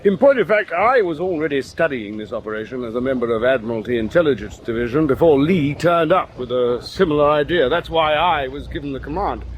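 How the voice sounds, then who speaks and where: An actor playing a boastful British naval commander with an English accent and a pompous, self-satisfied, dismissive way of talking. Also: male voice, medium pitch, expressive, fairly quick, few pauses, a little hiss or hum, natural voice. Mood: dismissive, smug. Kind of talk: period audio drama acting.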